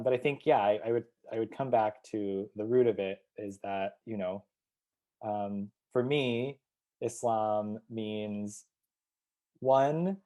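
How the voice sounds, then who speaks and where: Mellow-voiced man, reflective guest. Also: fairly low pitch. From United States, Ohio. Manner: reflective, thinks aloud with many fillers and restarts. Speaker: community organizer, guest. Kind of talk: interview answers.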